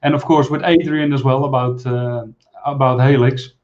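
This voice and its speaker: A man in his forties hosting a live stream interview over a video call, talking in a friendly, halting way with many fillers. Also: medium pitch, fairly even intonation, darkish tone, muffled recording. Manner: friendly, halting, many uhs, laughs easily. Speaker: host. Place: small room, indoors.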